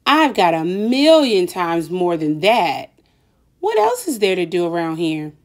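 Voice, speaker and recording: A woman's voice: lowish voice, sing-song, measured pace. A woman voicing a bossy, boastful young boy in a storybook, with brash, gloating delivery. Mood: bossy, bored.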